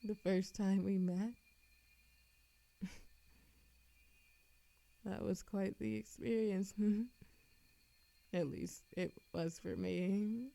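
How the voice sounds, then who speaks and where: A woman performs an immortal woman hopelessly in love, reckless and quick to get defensive. Her mid-pitched voice stumbles with feeling as she addresses the listener in an intimate audio drama. The sound is very dry, with no room around it. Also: steady tone, creaky, dark tone, no room sound. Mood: wistful, teasing. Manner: halting, stammering, emotional. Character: lovesick immortal woman, defensive, reckless, confessing to a Grim Reaper. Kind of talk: audio roleplay monologue, acted intimately to the listener.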